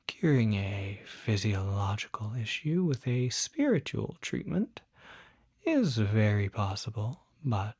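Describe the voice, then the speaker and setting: A courteous, reassuring demon doctor, played by a man in an ASMR roleplay. The delivery is very slow and gentle, with a politely formal bedside manner that often slips toward a whisper. The tone is dark and relaxed, captured close and dry. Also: on the low side, slightly rough, no room sound, heavily acted. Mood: measured, explanatory. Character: courteous, soft-spoken male demon doctor, fussy and reassuring. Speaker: doctor at a supernatural clinic.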